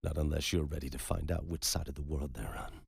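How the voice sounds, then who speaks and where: Man voicing a wounded old man, grim, hushed whisper, very deep, husky. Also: steady tone, brisk, dry recording, studio quality, exaggerated voice. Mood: warning. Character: wounded grim older man, doom-certain.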